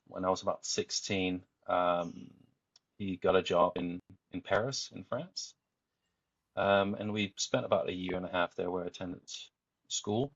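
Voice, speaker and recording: A man in his forties from Denmark, a podcast guest telling his life story in a relaxed, meandering way. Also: sits low, dry recording, muffled recording. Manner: frequent fillers and restarts, easygoing.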